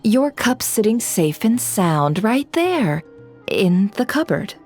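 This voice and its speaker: A slow, teasing information broker. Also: female voice, medium pitch, big pitch swings, a little mellow, faint music behind. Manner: drawling, teasing. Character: sly unhurried female information dealer, teasing. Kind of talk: video game dub acting.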